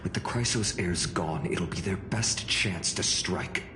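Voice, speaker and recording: An actor playing an earnest, polite young male leader in a game, speaking calmly and courteously. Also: deep voice, unhurried, background noise. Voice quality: calm, courteous. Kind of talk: game voice acting. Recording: roomy echo, music behind.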